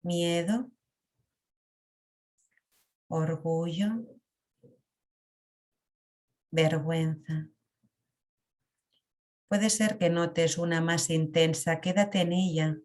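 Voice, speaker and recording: A woman leading a guided emotional meditation in a calm, reflective way. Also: sits low. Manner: slow, calm, reflective guidance with long pauses.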